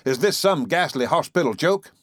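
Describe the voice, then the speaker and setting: A man voicing an agitated, indignant gentleman, a new father, in a storybook reading with exclamatory delivery. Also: medium pitch, lively intonation, fairly quick, dry recording, clean studio sound, lightly acted. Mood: frantic rage.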